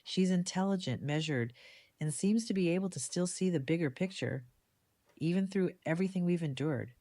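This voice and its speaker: A woman playing a composed, decisive community leader in an audio drama, speaking in a measured, diplomatic way. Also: lowish voice, warm tone, dry recording, close to an everyday voice. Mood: thoughtful, assured. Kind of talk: fan audio drama dialogue.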